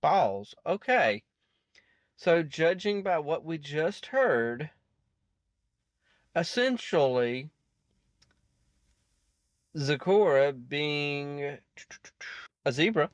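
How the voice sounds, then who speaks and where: A man hosting a reaction video with casual, rambling commentary, haltingly thinking aloud. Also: on the high side, expressive, dry recording, muffled recording.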